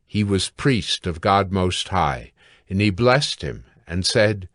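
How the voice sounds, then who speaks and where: A man narrating scripture from a script in a measured, deliberate way. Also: low voice, expressive, a bit bright, no echo, clean studio sound.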